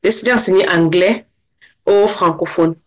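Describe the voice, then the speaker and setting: A young woman from Cameroon, a guest in an interview, speaking casually and fast, with many restarts. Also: on the low side. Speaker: interview guest, former scholarship holder.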